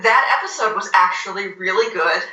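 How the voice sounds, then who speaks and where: A woman's voice: mid-range voice, expressive, normal speed, bright, forceful tone. A woman chatting casually about film and TV as a podcast co-host, emphatic and repeating phrases for stress. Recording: roomy, echoey voice recording.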